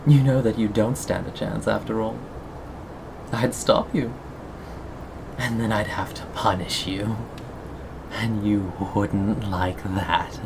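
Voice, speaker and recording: A performer of unclear sex playing a sadistic, possessive cannibal captor in an ASMR roleplay, lingering on words with mock tenderness and soft menace. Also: mid-range voice, steady tone, slow, gravelly, long pauses, noisy background. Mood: mocking, threatening. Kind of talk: ASMR roleplay, scripted monologue to listener.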